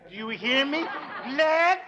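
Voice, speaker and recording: A fussy, self-important mailman from a 1940s radio comedy. He speaks slowly and primly, full of pompous indignation. The old recording is clearly noisy and crackly. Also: very high voice, expressive, highs cut off, a crowd in the background, heavily acted. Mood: peevish, spiteful. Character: fussy, peevish, self-important male mail carrier.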